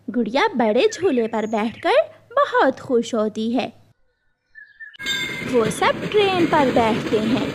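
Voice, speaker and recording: A woman reading scripted narration for a children's cartoon story. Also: fairly high pitch.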